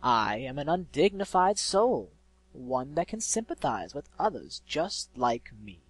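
Man acting a malevolent storybook spirit, male, eloquent, slow theatrical cooing, taunting, husky. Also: mid-range voice, big pitch swings, no room sound. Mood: persuasive, sinister.

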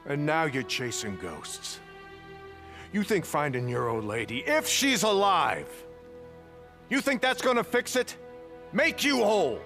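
An actor playing a stubborn, wounded older American camp leader, gruff, in a dramatic video game cutscene. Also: male voice, on the high side, very animated, measured pace, pauses often, bright, forceful tone, full character voice. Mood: scornful. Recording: background music.

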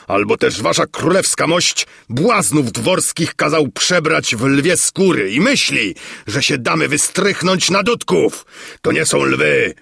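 A man voicing a cruel, imperious tyrant king from a storybook, shouting in theatrical outbursts. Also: sits high, no echo, studio quality, voice pushed up, exaggerated voice. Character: raging tyrant king, shrill, gloating and cruel. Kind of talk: theatrical fairy-tale storybook reading.

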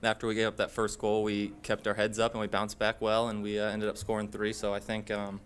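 A young man, a football player, talking calmly and matter-of-factly at a postgame press table. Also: lowish voice, brisk, clean tone. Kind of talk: postgame interview. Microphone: table microphone.

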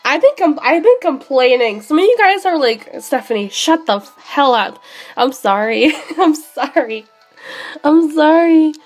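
A young woman streaming a handheld game, sick and losing her voice, chatting excitably with many fillers. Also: sits high, measured pace, soft background music. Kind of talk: live shiny hunting commentary.

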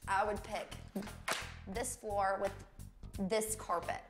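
A woman's voice: medium pitch, steady tone, takes it slowly, over music. A woman homeowner giving candid, somewhat hesitant reactions to her renovated room. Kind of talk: casual reactions on camera.

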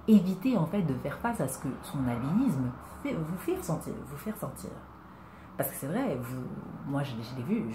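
Young woman, low voice, earnest reflective vlog talk, echoey small room, faint hiss. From Congo. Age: young adult. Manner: earnest, reflective, with many hesitations and rhetorical questions. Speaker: vlogger. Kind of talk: personal talk to camera. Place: indoors, small room, plain wall. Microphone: webcam at desk distance.

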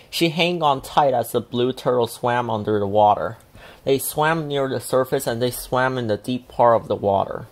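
Low-voiced, slow-paced woman storyteller. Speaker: storybook reader. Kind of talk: expressive children's read-aloud narration.

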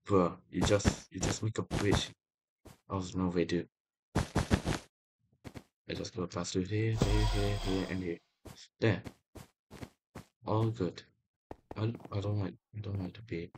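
A young man casually commenting on his own gameplay, excitable and talking to viewers, often repeating himself. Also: deep voice, a little slow, long pauses, loud music behind the voice, low-quality recording. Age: young adult. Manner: excitable, talks to viewers while playing, repeats phrases. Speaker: gaming channel host. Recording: loud background noise.

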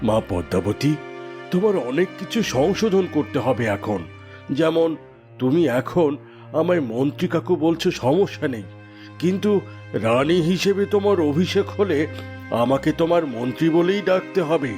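Scheming fairy tale cartoon minister, played by a man: slightly high-pitched, authoritative, formal, over loud music. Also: expressive, moderate pace, close to an everyday voice. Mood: solemn, persuading. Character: cunning royal minister, formal, commanding male schemer.

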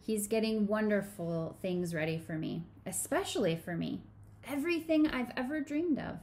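Woman reading a children's story gently. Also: mid-range voice, lively intonation, normal speed. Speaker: children's sermon co-leader. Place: small home room.

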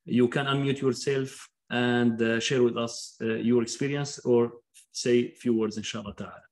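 Courteous man hosting, halting speech. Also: mid-range voice, steady tone. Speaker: Quran recitation teacher hosting a graduation. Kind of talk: informal ceremony speech. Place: home study, on a video call. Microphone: computer microphone on video call.

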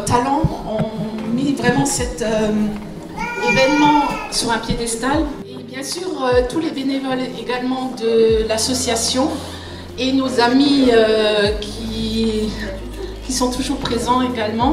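An older woman giving a halting public thank-you speech as president of an association, recorded from across a large foyer. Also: on the high side. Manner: halting, hesitant delivery. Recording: echoey hall PA, far from microphone.

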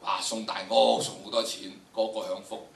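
Casting: man in his sixties, Hong Kong Cantonese, bright forceful lecturer, theatrical delivery, roomy hall sound. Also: fairly low pitch, little pitch movement, normal speed, vocal fry. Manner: theatrical, emphatic, rhetorical questions. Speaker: lecturer, drama educator. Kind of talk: animated lecture to students. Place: large indoor lecture theatre. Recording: echoey lecture hall, distant camera microphone.

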